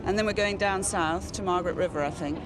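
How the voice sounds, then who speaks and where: A woman's voice: fairly low pitch, fast. A middle-aged woman with an English accent, chatting casually as she answers interview questions. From England. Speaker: member of the public being interviewed. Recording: noisy outdoors, traffic behind.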